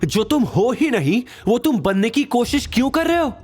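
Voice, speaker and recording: A man's voice: very high-pitched, sing-song, moderate pace, a little mellow, slightly rough, pitched above the performer's own voice, exaggerated voice. A man voicing an angry, scornful young woman, scolding sharply and indignantly in an audio drama.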